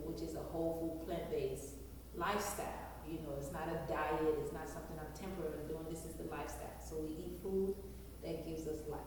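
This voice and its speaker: A woman's voice: on the low side, a little mellow, heavy reverb, long reverb tail, distant mic, slight background noise, rough recording. A woman from Liberia, a guest chef answering interview questions with enthusiastic storytelling.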